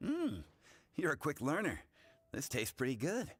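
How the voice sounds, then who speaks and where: An actor playing a friendly, seasoned bartender in a video game, talking in an affable, instructive way. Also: male voice, fairly high pitch, huge pitch swings, measured pace, husky, no echo, full character voice. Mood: affable, cautionary. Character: friendly, seasoned male bartender mentoring beginners.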